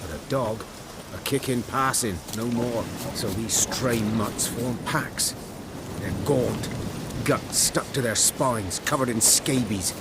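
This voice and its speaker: A man's voice: mid-range voice, takes it slowly, very noisy, natural voice. A man playing a wary, bitter village hunter in a video game, with a folksy, plain-spoken manner. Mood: grim, bitter.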